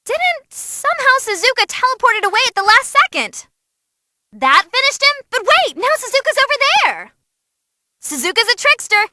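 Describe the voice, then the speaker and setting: An actress voicing an excitable, cheeky young female ring announcer, giving animated, theatrical fight commentary in a video game. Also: very high voice, no room sound, studio quality, heavily acted. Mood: excited commentating. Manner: perky, theatrical, wisecracking. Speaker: match commentator.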